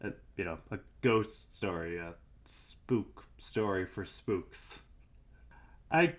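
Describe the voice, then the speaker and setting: A man's voice: low-pitched, slow pace. A man playing a weary, doubtful investigator in an audio drama, dictating private logs in a hesitant, dryly skeptical, musing way. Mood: doubtful, resigned. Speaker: investigator and show host character.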